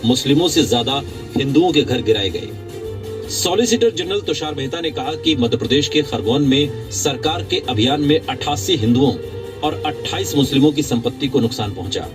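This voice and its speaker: A man's voice: mid-range voice, lively intonation, moderate pace. A man reading scripted news narration in a formal bulletin style. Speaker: news voice-over presenter. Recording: news clip with prominent music. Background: clear background music.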